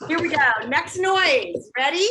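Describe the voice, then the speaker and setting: A woman's voice: extremely high pitch, expressive, a little slow. A woman teacher casually leading a class game over a video call, playfully prompting and encouraging children. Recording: noticeable background noise on video call.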